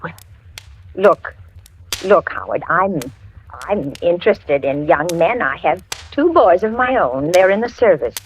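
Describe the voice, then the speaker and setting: Kindly, anxious housewife for vintage radio suspense: animated pitch, hesitant motherly soothing, crackly old recording. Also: unhurried, dull audio. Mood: uneasy, soothing. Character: kindly, nervous older housewife, widening unease. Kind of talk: 1940s radio acting, with first-person narration.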